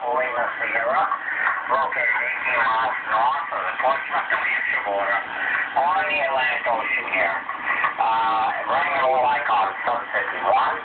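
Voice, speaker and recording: A man comes in over a ham radio link, friendly and chatty, spelling out call signs letter by letter. The sound is narrow and phone-like, buried in loud static, with a roomy echo. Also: medium pitch, normal speed. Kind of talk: radio contact chat. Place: small radio room at home. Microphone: stock radio microphone, heard over the air. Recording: noisy, echoey radio reception.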